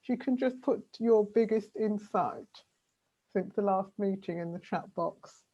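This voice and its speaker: A woman facilitating an online meeting, brisk and encouraging and a little flustered as she manages the schedule. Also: mid-range voice, warm tone, lo-fi sound.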